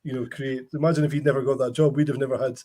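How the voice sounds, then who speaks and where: Male host, middle pitch, chatty, rambling, lots of restarts. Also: flat delivery, very fast, warm tone. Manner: rambling anecdotes with frequent restarts. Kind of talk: casual chat.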